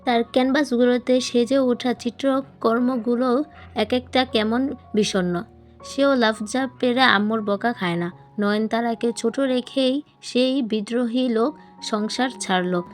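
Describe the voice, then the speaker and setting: Woman reading a novel out loud, audiobook style, kinda high voice, music in the back. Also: measured pace. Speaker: audiobook narrator. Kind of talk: scripted novel narration. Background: background music.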